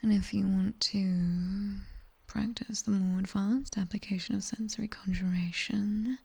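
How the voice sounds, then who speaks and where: A British woman playing a strict, teasing, seductive demoness tutor in an intimate ASMR roleplay, with breathy whispers and a condescending yet coaxing delivery, close on a binaural mic. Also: mid-range voice, a little mellow, exaggerated voice. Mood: teasing, approving. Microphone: close binaural microphone.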